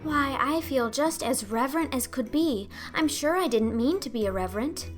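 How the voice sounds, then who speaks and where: Lady doing a chatty dreamy orphan girl for an audiobook, high voice, super earnest, music behind. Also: expressive, normal speed. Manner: earnest, effusive, rambling. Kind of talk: audiobook character acting.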